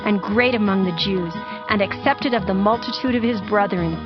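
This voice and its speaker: Woman narrating scripture, slightly muffled sound. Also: mid-range voice. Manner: formal, measured, clearly phrased. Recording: dull band-limited audio with music bed.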